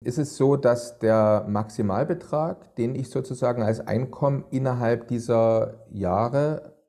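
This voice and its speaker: A male podcast host interviewing a guest. His voice is dark, mellow and gravelly. He builds long questions that wander before landing, filled with hesitation sounds. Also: mid-range voice, unhurried.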